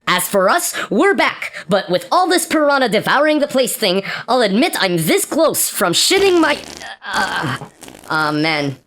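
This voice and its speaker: Woman as lively, blunt sci-fi radio play lead. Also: mid-range voice, unhurried. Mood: newscaster delivery. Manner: blunt, wry, swearing. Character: exasperated, sweary starship captain and news anchor.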